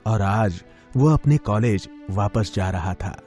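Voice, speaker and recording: A man's voice: fairly low pitch, slow pace, a little mellow, faint music behind. A man reading scripted narration for a moral story as its narrator.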